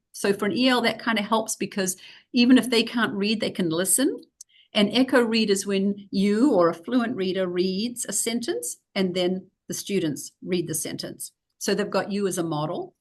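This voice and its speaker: A middle-aged woman with a New Zealand accent, explaining reading instruction for English learners in a recorded video-call lecture, practical and full of fillers. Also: medium pitch. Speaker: teacher trainer.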